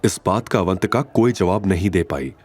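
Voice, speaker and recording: A man's voice: sits low, expressive, moderate pace, a little mellow, breathy edge. A man narrating a scripted audio drama in a dramatic storytelling style. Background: background music.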